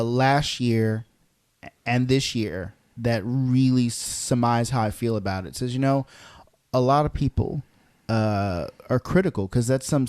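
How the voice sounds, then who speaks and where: A man's voice: medium pitch, darkish tone, no echo. A man co-hosting a podcast, telling stories casually and often repeating words as he goes. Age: adult. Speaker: podcast co-host. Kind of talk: casual conversational storytelling.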